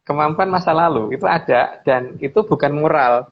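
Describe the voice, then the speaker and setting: A man's voice: a little high, normal speed. A middle-aged man talks through his views in a casual discussion. He circles back over phrases and checks for agreement, on a slightly dull recording with some background noise. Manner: repeats phrases while explaining, adds frequent tags seeking agreement. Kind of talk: casual discussion, explaining opinions.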